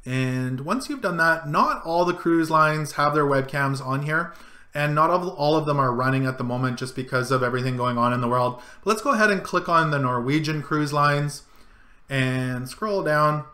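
A man's voice: fairly high pitch, normal speed, sharp tone, roomy sound. A middle-aged man casually talking through a screen-share tutorial, guiding each click and zoom step by step. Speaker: travel vlogger. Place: home room, indoors.

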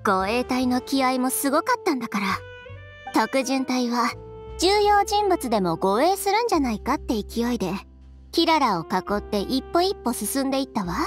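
An actress playing a confident, frank young fashion designer in a brisk, matter-of-fact way for a Japanese anime-style game. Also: female voice, sits high, lively intonation, soft background music.

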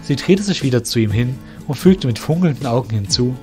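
A man reading the narration of an amateur radio play, in a steady, measured way. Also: medium pitch, faint music behind. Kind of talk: scripted storytelling narration.

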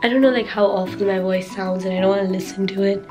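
A young woman with a hoarse, worn voice, talking casually to camera in her dorm room and keeping her speech soft to spare her throat. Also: mid-range voice, fairly even intonation, fast talker, over music. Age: young adult. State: hoarse, lost voice from sore throat. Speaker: vlogger, college student. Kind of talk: casual vlog talk to camera. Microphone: handheld camera close up.

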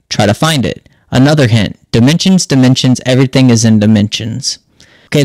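A man's voice: medium pitch, no echo, low-quality recording. A man hosting a tech tutorial, explaining step by step in a casual screen-narration style. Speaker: host of a tech tutorial channel.

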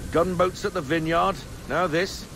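A salty middle-aged first mate in a seafaring game. He is plain-spoken and protective, with a fairly high voice over rain and thunder. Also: steady tone, measured pace, background noise. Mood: puzzled. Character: seasoned, protective older male ship's first mate. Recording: rain and thunder storm behind.

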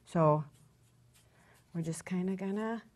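A rambling, chatty woman in her fifties with a voice set low for a woman. She talks through a craft demonstration on a studio set, trips over her words and restarts them, and laughs at herself along the way, picked up by a clip-on mic. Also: slow, a little mellow. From rural Wisconsin, USA. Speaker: guest craft presenter. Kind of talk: casual chat while demonstrating a craft.

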